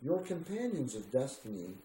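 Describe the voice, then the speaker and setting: A man giving a measured, solemn reading of a spiritual text, with deliberate pauses between short phrases. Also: medium pitch, big pitch swings, mellow. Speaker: reader. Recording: roomy echo with faint background noise.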